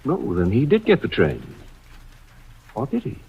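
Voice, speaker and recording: Very husky, animated inquisitive man. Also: medium pitch, a little slow, heavily acted. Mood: polite, suspicious. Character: polite, inquisitive male house guest, growing suspicious. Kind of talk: old-time radio suspense acting. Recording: dull vintage radio recording.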